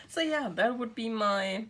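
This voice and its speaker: A woman's voice: fairly high pitch, a bit bright, slight background noise. A woman in her thirties with a German accent, chatting casually to camera and laughing at herself often. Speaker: craft channel host.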